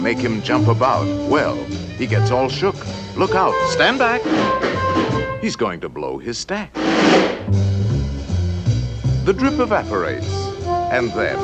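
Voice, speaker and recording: A man giving playful, theatrical scripted narration in the manner of a 1950s educational film. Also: medium pitch. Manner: theatrical, expressive phrasing. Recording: old film soundtrack under loud music. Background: loud musical score.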